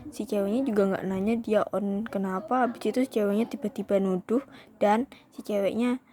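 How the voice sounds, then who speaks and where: A woman's voice: medium pitch, steady tone, a little fast, dark tone. A young woman vlogger telling a storytime in a casual, chatty way, often calling her viewers "guys" and slipping into English phrases.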